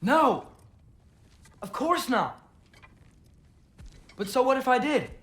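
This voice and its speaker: A man's voice: very high voice, very animated, slow, a bit bright, heavily acted. A young man playing a defiant, hurt teenage son in a video game, with a petulant, defensive delivery. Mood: defiant, angry.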